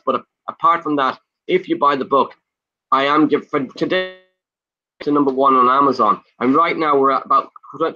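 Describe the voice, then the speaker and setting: Pushy, bright-voiced Irish man pitching. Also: dull audio. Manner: fast, pushy, lists things off in rapid bursts. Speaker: guest, property investor. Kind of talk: enthusiastic sales pitch in conversation. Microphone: video call.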